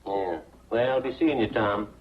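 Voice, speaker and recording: An actor playing a drily morbid small-town monument carver, laconic and folksy, in 1940s film style. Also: male voice, fairly low pitch, normal speed, natural voice. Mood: dry, casual. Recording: noisy, dull 1940s film soundtrack.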